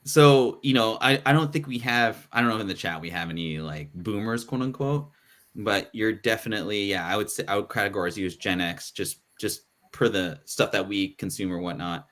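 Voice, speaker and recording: A man's voice: lowish voice, a little fast, a bit bright. A man hosting a live podcast panel, talking loosely with lots of fillers and restarts. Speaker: podcast host. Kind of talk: casual live panel chat.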